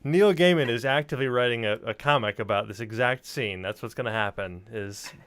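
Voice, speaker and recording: A man hosting a casual interview, halting as he thinks his questions out loud. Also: medium pitch, clear, resonant tone. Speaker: show host, interviewer.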